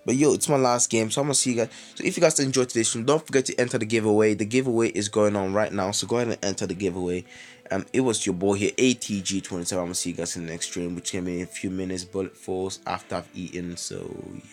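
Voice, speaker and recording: A young British man with a lively voice commentating his mobile game live, chatty with sudden excited outbursts, saying he is ill. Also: fairly low pitch, fast talker, soft background music. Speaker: streamer.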